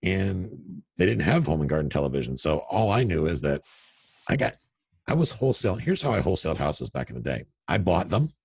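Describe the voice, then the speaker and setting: A middle-aged man with a very deep, gravelly radio voice, telling candid, self-deprecating stories. His tone is husky, and the sound is close and dry with no room around it. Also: sing-song, a little fast, a little mellow, no room sound. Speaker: real estate investor guest. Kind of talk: casual interview over a call. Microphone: remote call audio.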